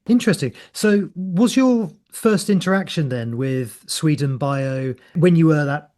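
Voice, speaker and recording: A man hosting a podcast, reading a measured, scripted introduction and asking his guest questions. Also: on the high side, lively intonation, darkish tone. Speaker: podcast host. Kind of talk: podcast interviewing.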